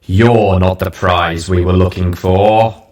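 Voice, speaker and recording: Regal, echoing, terrifying unicorn goddess. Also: male voice, fairly even intonation, darkish tone. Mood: gloating menace. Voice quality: majestic, booming. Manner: slow, imperious, speaks as "we". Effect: heavy echo. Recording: heavily echoing voice effect.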